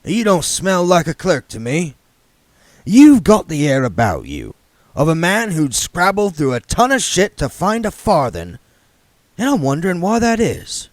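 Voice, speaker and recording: A man voicing a gruff, shrewd, wealthy businessman who speaks bluntly with a menacing, folksy edge. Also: a little high, huge pitch swings, unhurried, no echo, voice pushed up. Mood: suspicious.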